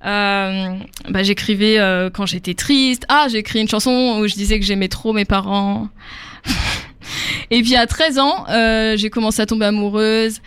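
A woman's voice: mid-range voice, normal speed, a bit bright, clear, resonant tone, dry recording. A young woman singer from Africa, answering interview questions casually with many fillers and easy laughs.

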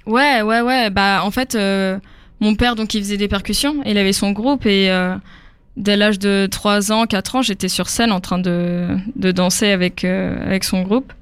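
A woman's voice: medium pitch, fairly even intonation, measured pace, clear, resonant tone, dry recording. A young woman singer from Africa, answering interview questions casually with many fillers and easy laughs.

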